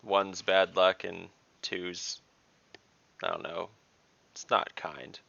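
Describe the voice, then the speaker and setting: A man's voice: sits low, fairly even intonation, slow pace, gravelly, sharp tone, no room sound. A man playing a tabletop role-playing game, chatting casually over a video call. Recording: dull online call audio.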